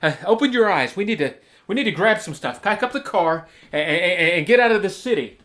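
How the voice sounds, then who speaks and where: A man's voice: high-pitched, lively intonation, brisk, a bit bright. An American man acting in an audio drama as a panicky, sarcastic, paranoid boyfriend who stammers and swears in exasperation. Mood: panicky, urgent. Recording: clear background noise, phone-diary sound.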